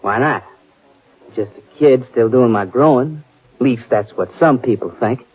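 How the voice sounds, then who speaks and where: An actor playing a smug, mocking young cowhand in an old radio drama. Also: male voice, mid-range voice, unhurried, breathy edge. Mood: cocky. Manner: mocking and cocky. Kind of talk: 1950s radio drama acting. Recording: dull old radio broadcast.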